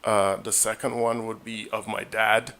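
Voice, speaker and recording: A man, a physician guest in an interview, answering in a measured, reflective way with many fillers and restarts. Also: on the low side, flat delivery, fairly bright tone.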